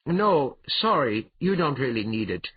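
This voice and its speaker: A man's voice: medium pitch, big pitch swings, unhurried, husky, no echo, phone-quality sound, voice pushed up. A man voicing a wily adventurer thief in a fantasy storybook, urbane, mock-polite and taunting. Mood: gloating.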